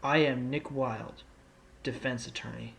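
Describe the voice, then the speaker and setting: A man's voice: medium pitch, fairly even intonation, unhurried, gravelly, a bit bright. A man playing a sly, laid-back fox, an ex-con turned rookie lawyer, in an amateur audio drama, with a wry, casual, teasing delivery. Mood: reflective, confident. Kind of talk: amateur audio drama with first-person narration. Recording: music bed under the voice.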